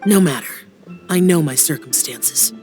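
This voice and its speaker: A man's voice: on the high side, expressive, unhurried. A man playing a reserved, brooding young immortal guardian in a dubbed fantasy game, speaking solemnly and formally, with some hesitation. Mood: reflective, melancholy. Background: soft music.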